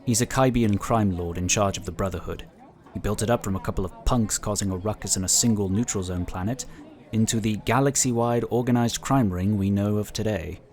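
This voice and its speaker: Man playing a low-voiced, measured inquisitor. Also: a little mellow, lightly acted. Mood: wry, informative. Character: measured, wry male inquisitor, cautious and protective. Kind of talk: sci-fi audio drama acting.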